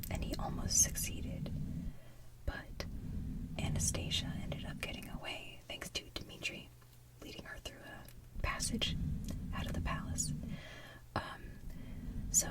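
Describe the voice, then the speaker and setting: A woman whispers softly in a breathy, unvoiced hush. She chats informally as an ASMR host, taking her time with an unhurried pace. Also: recorded from a distance, poor audio. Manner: informal, chatty.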